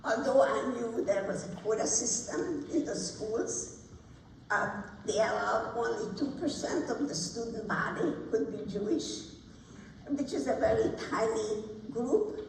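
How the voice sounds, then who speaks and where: A woman's voice: fairly high pitch, a little slow, vocal fry, darkish tone. Elderly woman in an echoing hall. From Budapest, Hungary. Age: 90s. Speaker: guest speaker, Holocaust survivor. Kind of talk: personal testimony to an audience. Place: large hall at a ceremony. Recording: echoey hall, distant mic, faint noise.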